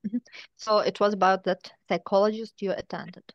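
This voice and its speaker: A woman's voice: medium pitch, flat delivery, moderate pace, no room sound, dull audio. A woman interpreting a webinar over a video call, phrasing her words carefully. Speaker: interpreter and moderator.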